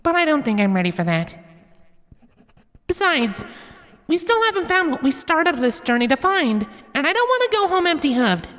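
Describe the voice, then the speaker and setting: A woman's voice: high-pitched, fairly bright tone. A woman voicing a young, determined female alicorn pony adventurer in a thoughtful monologue for a fan fiction audio drama. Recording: long reverberant hall effect.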